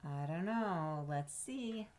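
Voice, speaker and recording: A woman reading a children's storybook aloud expressively, with dramatic pauses, drawn-out words and questions to her young listeners. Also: on the low side, lively intonation, darkish tone, slight background noise. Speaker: storybook reader.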